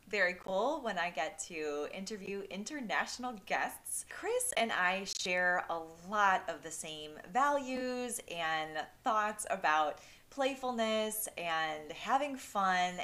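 A woman's voice: medium pitch, bright, forceful tone. A woman hosting a podcast, upbeat and encouraging as she introduces her guest and talks with him. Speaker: podcast host. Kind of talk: podcast interview.

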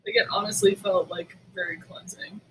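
A woman podcast co-host chatting casually, telling stories in a candid, animated, sweary way. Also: lowish voice, moderate pace, a little hiss or hum, low-quality recording. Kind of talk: casual chat.

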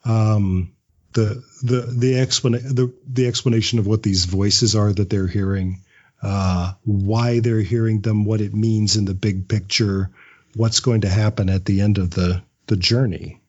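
Halting, low-pitched man in interview. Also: steady tone, a little mellow, breathy edge, muffled recording. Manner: halting, often repeating words as he thinks. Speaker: podcast guest, audio drama writer; script writing teacher. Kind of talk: casual reflective interview answers.

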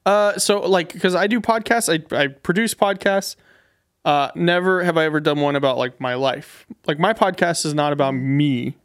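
A man's voice: a little high, moderate pace. A man chatting casually as a podcast guest, in a self-deprecating and slightly nervous way. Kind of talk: casual chat.

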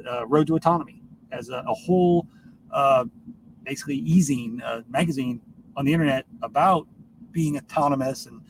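Man rambling, far from a noisy mic. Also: mid-range voice. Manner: informal, swears casually. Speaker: podcast host. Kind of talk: casual rambling solo podcast talk. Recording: noisy, distant microphone.

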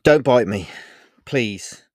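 A man's voice: mid-range voice, expressive, no room sound, studio quality, voice pushed up, natural voice. Man doing a pleading female werewolf. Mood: annoyed. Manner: earnest, pleading, halting. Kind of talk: storybook character voice.

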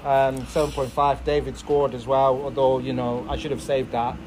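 Male amateur player, mid-pitched voice, casual post-match football chat. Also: little pitch movement, moderate pace, a little mellow, slight background noise.

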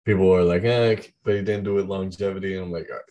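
A young man with a deep voice chatting casually about the music he loves, rambling with lots of fillers. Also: steady tone, fast talker, dark tone. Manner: rambling, heavy use of "like".

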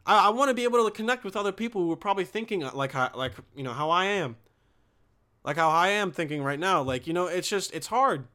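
A man's voice: sits high, expressive, fast, bright, forceful tone. A young man from Bosnia talking in a casual, rambling monologue, swearing often and restarting his sentences. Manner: profane, restarts sentences, slangy.

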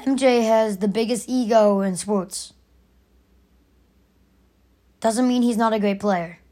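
A raspy young woman hosting her own podcast, arguing her opinion emphatically and repeating her points. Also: medium pitch, slow pace, mellow. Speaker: podcast host. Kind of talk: opinionated solo podcast rant.

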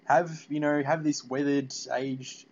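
A man hosting a casual interview about video games, talking in an informal, halting, rambling way. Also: fairly high pitch, level tone, crowd noise. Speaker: podcast interviewer. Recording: muffled, noisy lo-fi call audio.

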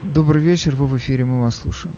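A man hosting a call-in radio show, briskly steering callers and cutting them off firmly. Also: mid-range voice, dark tone, a little hiss or hum. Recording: dull lo-fi radio audio.

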